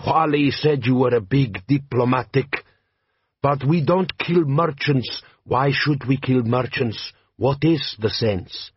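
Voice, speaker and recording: A man voicing a hearty, shrewd desert bandit chief who speaks slow, broken English. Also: mid-range voice, slightly rough, no echo, lo-fi sound, pitched above the performer's own voice.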